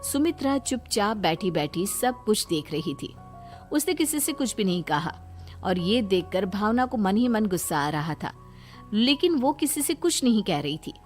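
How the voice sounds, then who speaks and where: A woman's voice: medium pitch, big pitch swings, normal speed. A woman narrating a scripted moral story as the storyteller. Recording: music bed behind voice.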